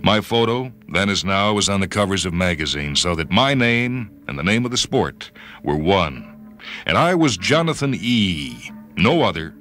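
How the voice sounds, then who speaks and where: A man narrates radio fiction in the first person. His voice is very low yet bright and forceful, brooding, with dramatic pauses, over a light bed of music. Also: big pitch swings. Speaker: story reader. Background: music and sound cues.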